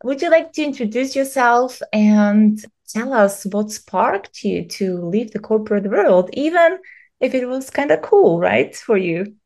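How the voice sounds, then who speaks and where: A woman's voice: on the high side, expressive, unhurried, a little mellow. A woman podcast host reading an upbeat scripted intro and then asking her guest questions. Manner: upbeat, read-aloud delivery.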